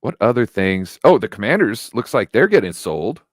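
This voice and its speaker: A man's voice: on the low side, big pitch swings, moderate pace, no echo, studio quality. A man co-hosting a sports fan show in casual, slangy banter, often checking in with the listener. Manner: slangy, informal, peppers talk with check-in phrases.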